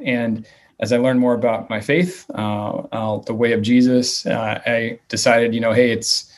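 A man in his thirties from the Virginia area, answering interview questions in a hesitant way with many fillers and restarts. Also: mid-range voice. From Virginia / West Virginia area. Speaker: interviewee, nonprofit leader.

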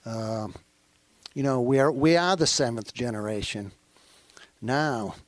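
Slow, earnest man with a Maine accent. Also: medium pitch, no room sound. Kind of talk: panel remarks.

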